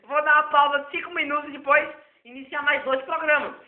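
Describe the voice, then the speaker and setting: A hoarse man with a very high voice rambles through an improvised computer lesson, circling back over his own points. The recording is thin and phone-like, in a roomy space with lots of echo. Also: little pitch movement, fast talker. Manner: rambling and repetitive. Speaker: self-taught computer tutor. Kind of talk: improvised spoken tech lesson.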